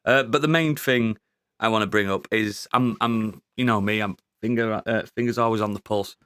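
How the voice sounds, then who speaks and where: Sweary, excitable man. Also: lowish voice, normal speed, smooth, no room sound. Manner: sweary, excitable, laughs often. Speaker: podcast co-host. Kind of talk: casual review chat.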